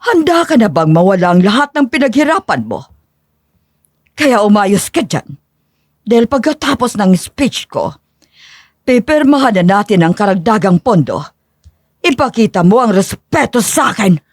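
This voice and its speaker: A woman playing a rich, scheming sixty-year-old matriarch, speaking in an imperious, measured, formal way in an audio drama. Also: medium pitch, very animated, lightly acted. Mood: threatening. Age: older. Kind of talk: dramatized audio story acting. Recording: long hall reverb.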